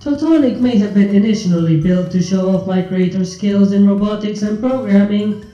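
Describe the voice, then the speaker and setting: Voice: mid-range voice, moderate pace, mellow, reverberant, dull audio, faint music behind, close to an everyday voice. A performer voicing a cold, condescending ship artificial intelligence in a fan fiction reading, speaking in formal, clinical, precise terms. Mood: explaining.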